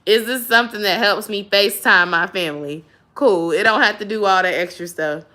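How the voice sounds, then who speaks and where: A woman's voice: medium pitch, fairly quick, bright, forceful tone. Woman, Southern Georgia accent, casual livestream host, chatty, laughs easily, greets viewers with y'all. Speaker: YouTube host.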